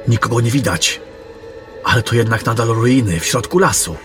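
A man's voice: medium pitch, normal speed, close to an everyday voice. A man playing an earnest, watchful young warrior who follows a general in a fantasy audio drama. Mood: alert, uneasy. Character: young male warrior, alert companion of a general. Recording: music under the voice.

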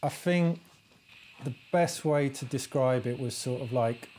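A man in his forties with a middle-pitched voice answers interview questions in a studio. He speaks in a calm, easygoing way, pausing with small fillers as he thinks. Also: slight background noise. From East Midlands, England. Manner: easygoing, thoughtful, hesitant fillers. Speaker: illustrator and artist guest. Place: podcast studio.

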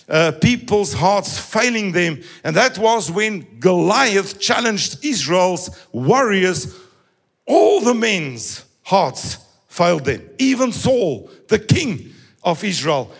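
A male preacher delivers a sermon in a high, gravelly voice with a husky edge. His delivery swings in a sing-song way and often climbs into shouting.

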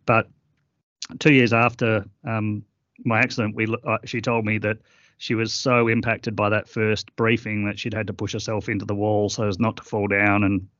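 A middle-aged man guesting on an interview, talking earnestly and haltingly with many fillers. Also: on the low side, level tone, a bit bright, no room sound, lo-fi sound. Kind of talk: reflective interview conversation.

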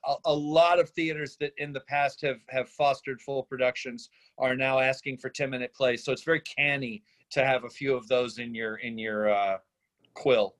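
A man giving casual critique in a group discussion, halting and repeating the starts of his words. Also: medium pitch, fairly even intonation, fairly bright tone. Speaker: discussion panelist giving script feedback.

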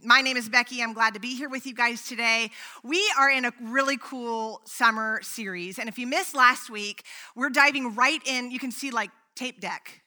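A woman pastor with a high, very bright voice talks to her congregation in a loose, playful way. Her voice is strong, and her casual asides mix easily with the preaching. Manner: chatty and playful, with frequent "like" fillers. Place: church sanctuary.

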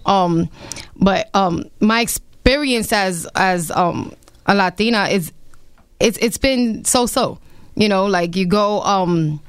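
A woman from New York with a New York accent, a singer talking as a radio guest in a strong, forceful way, full of fillers. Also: medium pitch, clean tone, no room sound. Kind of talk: casual radio interview.

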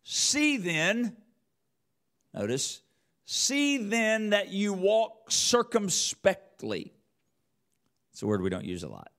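A man's voice: sits high, wildly swooping pitch, takes it slowly, pauses often, a bit bright. A man preaching a sermon as a church elder, speaking emphatically with repeated phrases and rhetorical questions. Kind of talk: sermon with scripture quotation.

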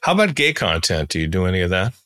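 A man's voice: sits low, very animated, fast talker, sharp tone, dry recording, studio quality. A man interviewing a guest conversationally as a podcast host.

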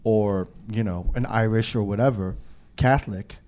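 A man's voice: lowish voice, moderate pace, darkish tone, clean tone. A man from New York, a podcast host, talking emphatically in a casual group chat and often restarting his sentences. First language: English.

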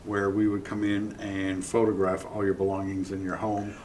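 Chatty lower-voiced man from Massachusetts. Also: monotone, normal speed, a little mellow, slight background noise. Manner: chatty, tells anecdotes. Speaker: business partner guest. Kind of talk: casual interview storytelling.

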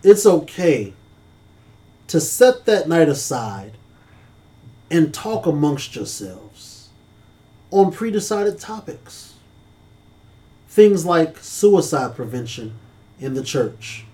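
A man's voice: on the high side, big pitch swings, slow, long pauses, warm tone. A man co-hosting a casual church-talk podcast, speaking earnestly with a preacherly cadence.

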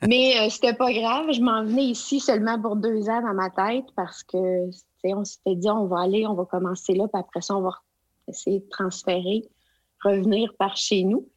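A woman's voice: medium pitch, fairly even intonation, normal speed, dry recording, poor audio. Quebec French woman in her forties, hesitant, conversational, dry close sound. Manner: hesitant, many fillers and restarts. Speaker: guest, provincial education consultant. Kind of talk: casual interview conversation.

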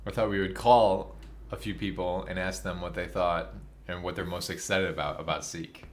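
A man's voice: low-pitched, fairly even intonation, moderate pace, a little hiss or hum. A man who is a priest hosting a podcast, chatting casually with an enthusiastic, slangy, informal manner.

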